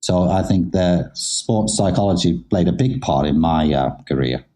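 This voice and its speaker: Deep, mellow middle-aged man. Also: expressive, moderate pace. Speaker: interviewed athlete, former figure skater. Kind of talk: casual interview storytelling.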